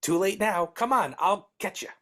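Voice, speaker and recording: A woman's voice: medium pitch, expressive, normal speed, lightly acted. A woman playing a self-assured male storyteller who bosses a sidekick around in a live dramatic reading, dry and matter-of-fact. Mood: exasperated, urging.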